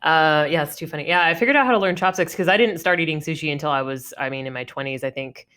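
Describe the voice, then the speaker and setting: A woman's voice: deep voice, quick pace, fairly bright tone, clean tone. A woman in her thirties from Oregon, hosting a casual business podcast interview, chatty with many ums and laughs. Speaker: podcast host.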